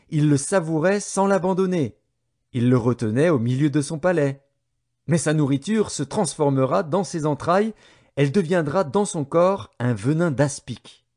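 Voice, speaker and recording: A man narrating an audio Bible in a solemn, measured way, enunciating each phrase with care. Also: medium pitch, a little mellow. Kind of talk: scripted scripture narration.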